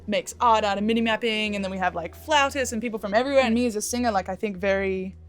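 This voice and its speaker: A woman's voice: mid-range voice, fast talker. Enthusiastic young-sounding woman, lots of "like". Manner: enthusiastic, filler-heavy. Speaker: singer. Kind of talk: casual interview. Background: faint music.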